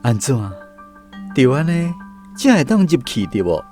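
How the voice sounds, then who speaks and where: Plaintive, lively-pitched weary wanderer. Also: male voice, unhurried, faint music behind. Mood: pleading. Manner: pleading, plaintive, earnest. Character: weak, weary lost man seeking peace and happiness. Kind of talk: Taiwanese radio drama acting.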